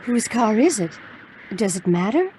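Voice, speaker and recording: A woman's voice: fairly high pitch, sing-song, measured pace, background noise. A woman playing an anxious, restrained client of a private detective in a noir audio drama.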